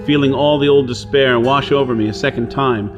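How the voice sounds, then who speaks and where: A man acts out a desperate Martian outlaw in a pulp radio drama. He tells his story in the first person with theatrical intensity, his voice at a middling pitch. Dramatic music plays strongly behind him. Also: steady tone, fairly quick, close to an everyday voice. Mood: brooding, anguished. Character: desperate outlaw hero on Mars recounting his ordeal. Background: dramatic music and soundscape.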